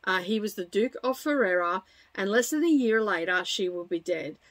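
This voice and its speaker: A woman's voice: medium pitch, animated, normal speed, no echo. A middle-aged woman hosting a book channel, talking casually and chattily to her viewers. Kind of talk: casual chatty vlog presenting.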